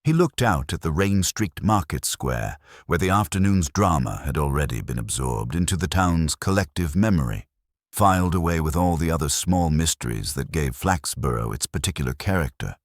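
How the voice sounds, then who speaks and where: A man reading scripted detective fiction as an audiobook narrator, in a measured, literary storytelling manner. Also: extremely low pitch, animated, no room sound, studio quality.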